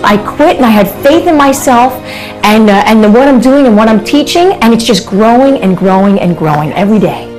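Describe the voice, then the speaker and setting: A woman's voice: a little high, lively intonation, fairly quick, darkish tone, faint music behind, rough recording. A woman in an interview recounting her own experience in a conversational way, with frequent fillers. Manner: conversational, many fillers like "you know" and "uh". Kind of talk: personal account in an interview.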